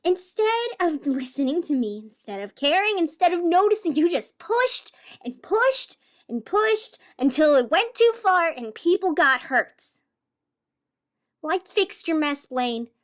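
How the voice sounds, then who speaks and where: A woman's voice: very high-pitched, big pitch swings, a little slow, full character voice. A woman playing an emotional, wounded radio host in an audio drama. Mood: angry, exasperated. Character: female radio host, wounded, fiery, turning soothing on air.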